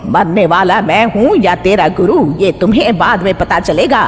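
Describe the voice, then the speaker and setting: A woman's voice: mid-range voice, normal speed, lightly acted. A woman voicing a stern old witch mother-in-law in a horror cartoon, commanding and sure of herself. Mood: threatening, commanding. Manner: commanding, threatening, assured. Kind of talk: Hindi horror cartoon dialogue. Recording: long hall reverb, background noise.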